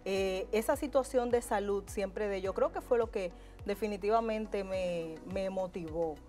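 A middle-aged Dominican woman, a urologist, chatting warmly as a guest in a TV studio interview. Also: medium pitch, measured pace, faint music behind. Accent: Dominican Spanish. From Dominican Republic. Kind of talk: casual interview chat. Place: TV studio set. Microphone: lapel mic.

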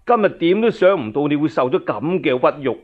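A man's voice: a little high, big pitch swings, a little fast, narrow, tinny audio. A man voicing a haughty, wrathful tyrant emperor with an imperious, commanding manner in a storytelling drama. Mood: grave.

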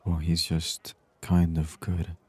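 Male gaming host for sleep ASMR: murmured, very flat intonation, husky voice, unhurried. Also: low-pitched. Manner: murmured, unhurried, reading on-screen text aloud. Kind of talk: soft-spoken ASMR game commentary.

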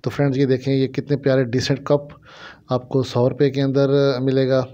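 A man's voice: medium pitch, flat delivery, normal speed, mellow. A man with a Pakistani accent narrates a casual shopping vlog, explaining things repetitively to viewers into a handheld phone in a small shop. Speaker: vlogger showing shop goods. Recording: dull, lo-fi phone camera audio.

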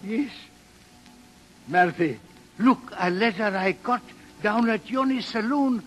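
An old sea captain in a vintage radio play, high-pitched and slow. He is a simple, excitable fond father who exclaims in broken English, in a reverberant space. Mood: delighted, amazed. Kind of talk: 1930s radio drama acting. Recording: 1938 radio broadcast, reverberant.

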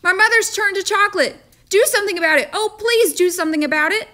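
A woman voicing a desperate young boy who pleads in a high, breathless rush. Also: fast, pushed and bright. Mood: frantic.

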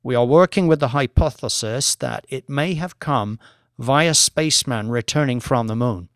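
A man voicing an earnest scientist who lectures in a measured, formal manner in an audiobook. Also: mid-range voice, clean tone, no echo, studio quality, close to an everyday voice. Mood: earnest, clinical.

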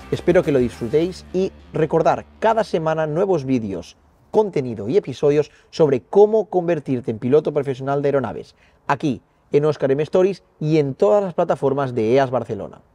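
Man in his twenties, accent from Spain, presenter style, slightly high pitch, soft mellow timbre. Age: 20s. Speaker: vlog host, pilot. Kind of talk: presenter introduction to camera.

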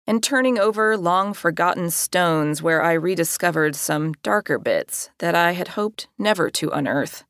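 A woman's voice: fairly low pitch, a bit bright, no room sound, clean studio sound. A woman narrating her own nonfiction audiobook in a wry, conversational way.

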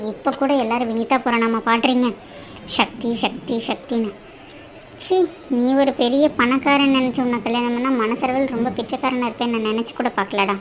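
High-voiced, scheming young daughter-in-law played by a woman. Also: a little hiss or hum, lightly acted. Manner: indignant, contemptuous, muttering threats. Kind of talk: family melodrama acting.

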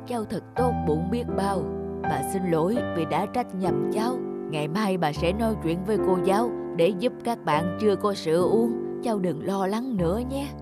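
A woman's voice: medium pitch, expressive, normal speed, lightly acted. An elderly grandmother from a children's cartoon, acted by a woman. She is stern yet loving, moving between scolding and tenderness. Music plays prominently behind her. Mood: tender, remorseful. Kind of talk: cartoon voice acting. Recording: music bed under the voice.

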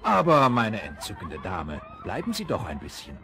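Courtly, cheerful party dad for a radio play, very lively pitch. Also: male voice, moderate pace, vocal fry, heavily acted. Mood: gallant. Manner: playfully courtly. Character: cheerful gallant father hosting a kids party.

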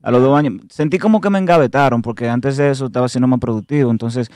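Fast-talking Dominican man in his thirties. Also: medium pitch, no room sound, studio quality. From Dominican Republic. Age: 30s. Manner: relaxed, slangy, grateful. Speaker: guest singer. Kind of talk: casual interview chat. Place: radio studio. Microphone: studio mic with headphones.

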